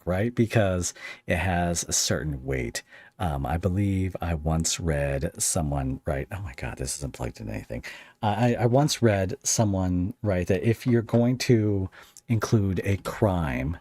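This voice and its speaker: Low-voiced man chatting as podcast host. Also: darkish tone, dry recording. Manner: halting, wandering, self-interrupting questions. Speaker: podcast host interviewing a script writer. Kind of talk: casual interview chat.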